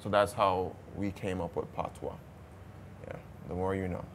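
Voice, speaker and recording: A Jamaican man chatting casually as a travel vlogger, relaxed and talkative with some stammered restarts. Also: low voice, moderate pace, a little hiss or hum. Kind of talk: casual travel vlog chat.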